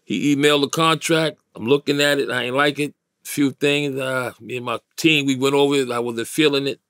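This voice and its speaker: New york guy in his 50s, gravelly fry in the voice, slangy storytelling, close dry studio sound. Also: medium pitch, fairly even intonation, brisk, a bit bright, no room sound. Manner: streetwise, slangy, emphatic. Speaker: interview guest. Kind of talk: casual storytelling in an interview. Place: small indoor recording studio, dry sound.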